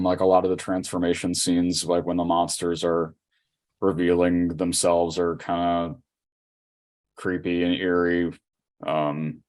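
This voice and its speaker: Low, flat-toned man chatting casually. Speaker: podcast co-host. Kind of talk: casual fan podcast chat.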